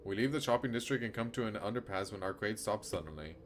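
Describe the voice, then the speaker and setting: A man's voice: lowish voice, lively intonation, fast talker, slight background noise. A man streaming a game, reading a visual novel aloud and adding casual commentary.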